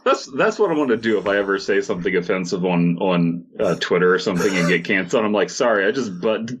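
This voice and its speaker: A man's voice: sits low, expressive, fast talker, breathy edge. A man co-hosting a comedy podcast, bantering casually and reading posts aloud with sardonic commentary. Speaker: podcast host. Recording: dull lo-fi microphone sound.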